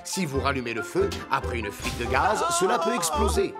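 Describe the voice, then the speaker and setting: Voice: mid-range voice, wildly swooping pitch, moderate pace, over loud music. An actor in a French cartoon dub playing a helpful rescue fire truck, giving safety advice in a didactic way. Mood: instructive. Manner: didactic, clearly phrased.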